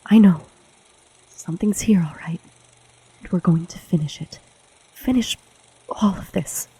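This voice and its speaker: Woman acting hushed, stubborn young conspirator. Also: on the low side, measured pace, full character voice, poor audio. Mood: hushed, grim. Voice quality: breathy, hushed. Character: determined, secretive young female student, stubbornly devoted. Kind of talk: intense audio drama dialogue.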